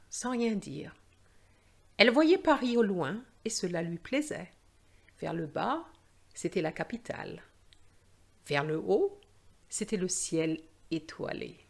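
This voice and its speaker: Female storyteller for a learners' tale: unhurried, careful phrasing, animated pitch. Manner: deliberate, carefully phrased, expressive. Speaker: language teacher and storyteller. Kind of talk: scripted storybook narration for learners.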